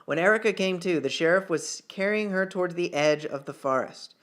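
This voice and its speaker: A man's voice: high voice, a little fast, a bit bright. A man narrating fiction in an audiobook style, reading aloud with the odd small stumble. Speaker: author reading own novel chapter.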